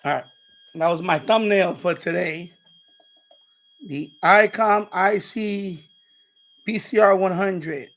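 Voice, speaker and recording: A man with a rough, gravelly voice chatting casually on a livestream, repeating himself and muttering as he tinkers. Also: sits high, slow pace, long pauses, a bit bright. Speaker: live streamer, radio hobbyist. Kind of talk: casual rambling livestream chat.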